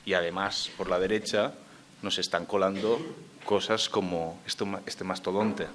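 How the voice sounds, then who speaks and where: A very deep-voiced man speaks on a conference panel, weighing his words and pausing to think aloud. Faint room noise sits behind him. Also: fairly even intonation, fairly bright tone. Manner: measured, thinking aloud with hesitations. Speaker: panel speaker on memory policy.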